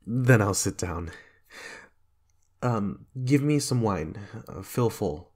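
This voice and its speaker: A man playing a guilt-haunted, fearful and raging king in a Shakespearean dramatic reading, with a husky voice. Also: lowish voice, lively intonation, slow pace. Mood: forced cheer. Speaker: amateur reader in a dramatic reading.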